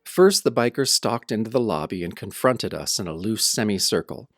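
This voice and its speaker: Male actor as young werewolf narrator for audio drama: low voice, wry, introspective, music underneath. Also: expressive, normal speed, no room sound, clean studio sound. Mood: brooding. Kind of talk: first-person audio drama narration, old radio show style.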